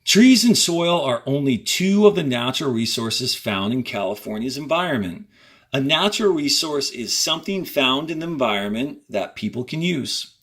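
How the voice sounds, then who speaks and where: Male elementary teacher, mid-pitched, giving deliberate instructional read-aloud with short directives for young pupils. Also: lively intonation, a bit bright, breathy edge. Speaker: fourth-grade teacher.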